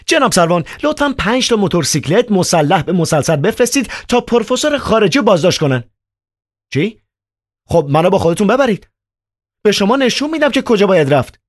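A man reading aloud and voicing a frantic young male poet held in an asylum, his voice pushed high and shrill. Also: very animated, no room sound, clean studio sound. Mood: shouting.

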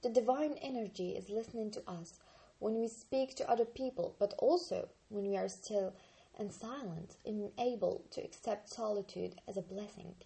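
A woman in her twenties from Algeria, an Arabic speaker, reading a book aloud slowly and carefully on her channel. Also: medium pitch, gravelly, dark tone, poor audio. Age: 20s. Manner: slow, careful reading with occasional slips and restarts.

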